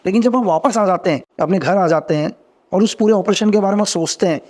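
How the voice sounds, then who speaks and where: A man's voice: high voice, a little mellow. A middle-aged man, a former agent and podcast guest, telling his story in a casual interview, earnest and repeating phrases as he explains. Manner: rapid, earnest, repeats phrases, rising energy when explaining.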